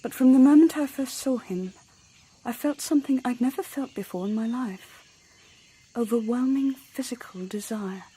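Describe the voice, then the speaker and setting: An actress playing a cool, self-possessed young woman in a radio drama, with a hushed, candid manner. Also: on the high side, normal speed, slightly rough, rough recording. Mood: candid, wistful. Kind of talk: intimate radio drama acting.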